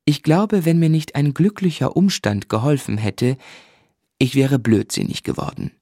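A male actor narrating a radio play as a grown man looking back on his boyhood, in a measured, reflective storytelling manner. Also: a little high, expressive, dark tone, no echo, clean studio sound.

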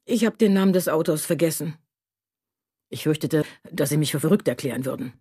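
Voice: medium pitch, expressive, brisk, mellow, slightly rough. An actor delivering an intimate confessional monologue about a lonely childhood, in a radio drama.

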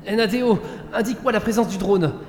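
A man's voice: high voice, quick pace, a little hiss or hum. A man acting in an audio drama as a frantic, cursing lone space pilot who mutters to himself. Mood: tense, resolute. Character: panicked, foul-mouthed lone space pilot trapped with a killer drone. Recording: echoey reverberant hall, loud music behind.

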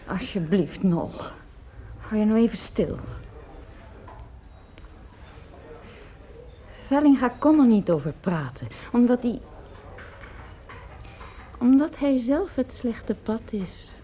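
A woman's voice: on the high side, big pitch swings, unhurried, long pauses, warm tone. An actress playing a worried, weary middle-aged mother in a Dutch radio drama, hesitant and subdued. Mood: pleading. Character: worried, weary, reticent mother of a grown son. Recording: dull, muffled old radio recording.

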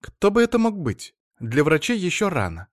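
A man's voice: sits high, wildly swooping pitch, dry recording, clean studio sound, voice pushed up. A man putting on a flighty chattering wife. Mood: coy. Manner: fast, breathless chatter. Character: excitable young-sounding wife, chattering and flighty.

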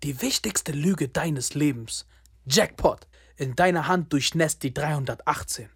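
A man's voice: a little high, a little slow, vocal fry, a bit bright, slightly rough, no room sound, studio quality. A young man narrating a radio play in the second person, terse and sardonic, often breaking off to restart the scene.

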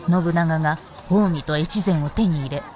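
A woman's voice: low voice, a little slow, a little mellow, a faint crowd, a little hiss or hum. A woman giving scripted narration for a radio drama.

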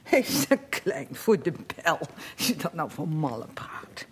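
A woman's voice: low voice, big pitch swings, a little slow, slight background noise. An actress playing a defensive, uncomprehending middle-aged mother who chatters, scolds and justifies herself, in a Dutch radio play. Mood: nagging, baffled. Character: defensive, nagging, uncomprehending mother of a troubled son.

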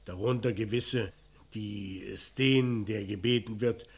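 Bossy sardonic cop in a radio play, creaky gruff voice, short commands, needles his suspect. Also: on the low side, fairly even intonation, a little slow. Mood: sardonic, probing. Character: gruff, commanding male police inspector, middle-aged, needling interrogator. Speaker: actor in a radio crime drama. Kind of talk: German radio play acting.

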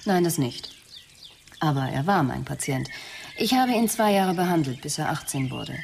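A woman's voice: low voice, lively intonation, normal speed, gravelly, darkish tone, a little hiss or hum. An actress playing a guarded, precise female psychotherapist under questioning in a radio crime drama, evasive but explanatory. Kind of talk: German radio drama dialogue.

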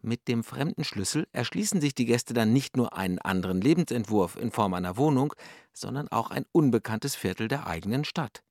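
A man's voice: medium pitch, lively intonation, brisk, darkish tone, no echo, studio quality. A man reading a scripted introduction to a radio play as an announcer.

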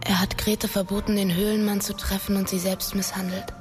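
Actress playing young woman, flat intonation, hushed, uneasy, questioning, subdued, radio drama, soft music behind. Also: medium pitch, a little fast, lightly acted. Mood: uneasy, probing. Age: young adult.

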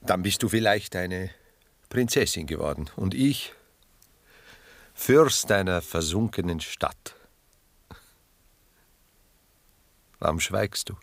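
An actor playing a reflective, tender, melancholy older lover in a radio drama, speaking in a measured literary way. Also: male voice, low voice, expressive, no echo, full character voice. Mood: wistful. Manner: measured, literary, musing delivery. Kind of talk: 1960s German radio drama acting.

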